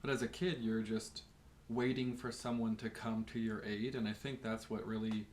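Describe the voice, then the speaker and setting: A man's voice: fairly low pitch, flat delivery, moderate pace, darkish tone, slight background noise. A man co-hosting a casual film discussion in loose, hesitant phrases padded with filler words. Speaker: podcast co-host.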